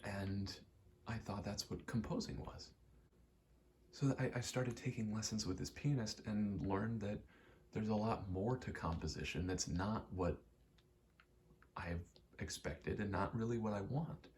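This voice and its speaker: A young man giving a soft-spoken, halting, reflective ASMR ramble, telling personal stories in a hushed voice close to the mic. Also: on the low side, a little hiss or hum. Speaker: ASMR creator.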